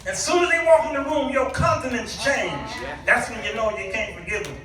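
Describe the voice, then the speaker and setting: A man preaching an impassioned sermon, exhorting his listeners with pauses and rhetorical questions. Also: very high voice, music in the background. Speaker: guest preacher. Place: large echoey indoor church with congregation. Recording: echoey church, distant mic, congregation responding.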